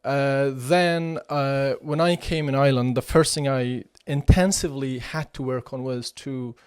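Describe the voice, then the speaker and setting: A man's voice: mid-range voice, steady tone, unhurried, a little mellow, smooth, dry recording, clean studio sound. A man in his forties from Iraqi Kurdistan with a Kurdish accent, a podcast guest who speaks thoughtfully and hesitates often. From Kurdistan region of Iraq. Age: 40s. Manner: thoughtful, with many fillers and restarts. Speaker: podcast guest, chief executive of a cultural centre. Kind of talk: conversational interview.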